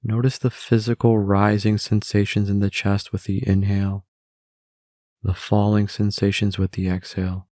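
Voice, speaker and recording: A man narrating a guided meditation in a measured way, with long pauses between phrases. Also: on the low side, level tone, dark tone, dry recording, clean studio sound.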